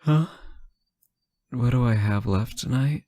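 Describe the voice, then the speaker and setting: A man playing a sleep-deprived, teasing boyfriend on an intimate late-night call, soft-spoken, hesitant and gently joking. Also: mid-range voice, takes it slowly, dry recording, lightly acted. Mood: tired, defensive. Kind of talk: intimate audio roleplay, one side of a phone call.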